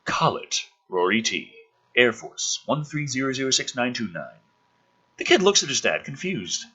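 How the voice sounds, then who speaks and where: A man with a husky, very bright and forceful voice performs a script read-through. His pitch swings wildly as he narrates, and his delivery is sarcastic and exasperated, peppered with swearing. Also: sing-song, normal speed, highs cut off. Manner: sarcastic, foul-mouthed, exasperated outbursts. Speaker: gaming channel host reading and mocking a film script. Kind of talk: dramatic script read-through with ranting commentary.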